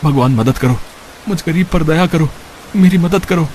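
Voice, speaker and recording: A man's voice: a little high, moderate pace, darkish tone, a little hiss or hum, natural voice. Man playing a worried, humble poor father. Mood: pleading. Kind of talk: Hindi cartoon story character voice.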